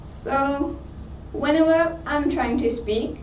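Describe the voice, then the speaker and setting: A woman's voice: high voice, slow, slight background noise. A woman from Mauritius with a Mauritian accent, a student asking her tutor hesitant questions. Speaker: test-prep student. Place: studio room. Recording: roomy, distant, dull studio sound.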